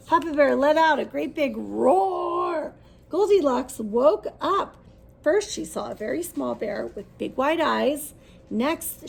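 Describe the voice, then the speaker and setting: A woman's voice: sits high, gravelly, husky. A woman reading a children's story aloud expressively, putting questions to her listeners as she goes.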